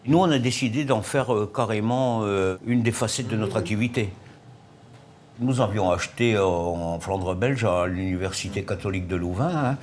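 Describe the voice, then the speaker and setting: A former tavern owner answers questions calmly and sticks to plain facts. His voice is very deep. Faint ambient noise sits behind him. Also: normal speed. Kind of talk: interview answers.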